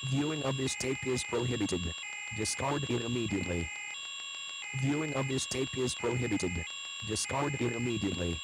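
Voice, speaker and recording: A man's voice as a cold automated emergency announcer, flat and commanding. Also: medium pitch, a little slow, loud music behind the voice, lightly acted, rough recording.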